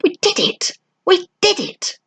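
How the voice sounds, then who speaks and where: Woman acting an eager, high-pitched excitable schoolboy. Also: takes it slowly, heavily acted. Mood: thrilled, excited. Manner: eager, stumbling over words. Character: excitable, breathless schoolboy in a storybook.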